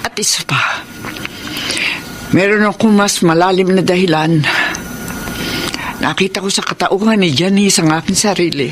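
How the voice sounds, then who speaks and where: A woman playing a middle-aged mother in a Filipino radio drama, confessing secrets in a solemn, deliberate, sorrowful way. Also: on the low side, noisy background, close to an everyday voice. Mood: solemn, confessional. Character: older mother confessing long-kept secrets, firm and sorrowful. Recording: loud dramatic music behind the voice.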